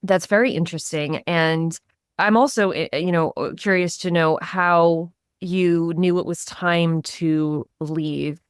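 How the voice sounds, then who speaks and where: An American woman hosts an interview with eager, rambling enthusiasm, full of "like" and quick restarts. Her voice is unusually low for a woman, and the sound is close and dry. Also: fairly even intonation, smooth, no echo. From United States. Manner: enthusiastic, many fillers and restarts. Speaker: podcast host. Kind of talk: casual interview.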